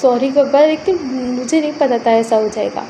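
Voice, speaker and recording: Earnest nephew in a told story, read by a woman; high, soft-toned, apologetic, pleading, faint background noise. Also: moderate pace, rough recording. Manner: pleading, explaining himself.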